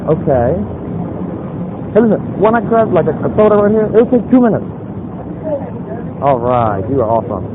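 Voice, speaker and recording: Through a thin, phone-like recording with loud noise comes a young man with a fairly high voice. He talks casually and hesitantly, padding his small talk with fillers as he tries to keep a stranger chatting. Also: very animated, moderate pace, pauses often. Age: young adult. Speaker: pickup vlogger chatting up a stranger. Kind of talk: casual street conversation. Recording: muffled phone-like audio, loud street noise.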